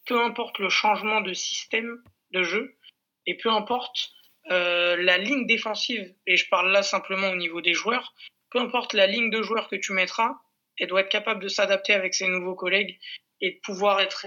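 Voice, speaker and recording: A man's voice: high-pitched, pushed and bright. A man from Corsica, a football coach, explaining things conversationally in a didactic way as an interview guest. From Corsica, France.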